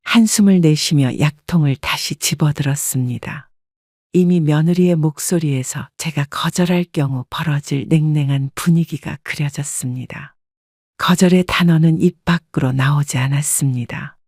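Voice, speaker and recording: A woman's voice: low voice, normal speed, darkish tone, no echo, studio quality. A woman reading a scripted first-person story as an audiobook narrator.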